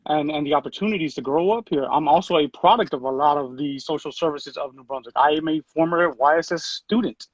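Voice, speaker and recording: A man in his thirties, a city program director, answering interview questions in a relaxed way with lots of fillers and restarts. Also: a little high, fast talker, few pauses, husky, dry recording, highs cut off. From Puerto Rico.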